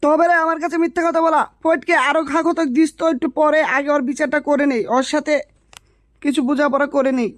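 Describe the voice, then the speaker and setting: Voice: high voice, flat delivery, fast, no room sound, natural voice. A performer voicing a stern, bossy male village headman, authoritative and threatening, in a comic Bengali drama style. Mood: indignant.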